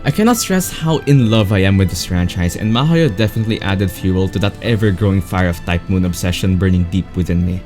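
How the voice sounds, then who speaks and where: A man's voice: low voice, a little fast, hardly any pauses, a little mellow. A man giving an enthusiastic, opinionated video game review as a voice-over. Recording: voice over background music. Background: music.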